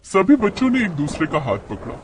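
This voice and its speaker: A man's voice: sits high, huge pitch swings, fairly quick, slight background noise, low-quality recording. A man narrating a scripted moral story as its storyteller.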